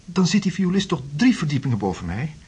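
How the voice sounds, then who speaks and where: An actor playing a troubled, anxious tenant in a radio drama, earnest and hesitant. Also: male voice, fairly high pitch, big pitch swings, fast, a little mellow, husky, heavily acted. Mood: distressed. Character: troubled male tenant, anxious, convinced the house is wrong. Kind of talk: Dutch radio drama acting.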